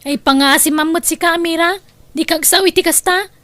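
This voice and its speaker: High-voiced tart young Ilocano woman acted out. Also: moderate pace, fairly bright tone, dry recording. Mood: indignant. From Philippines. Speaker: voice actor in comedy drama. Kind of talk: Ilocano audio drama acting.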